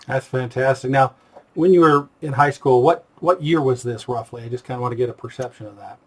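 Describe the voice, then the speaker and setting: A man's voice: mid-range voice, a little fast. A man hosting a casual, conversational interview and putting questions to his guest. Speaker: interviewer, host.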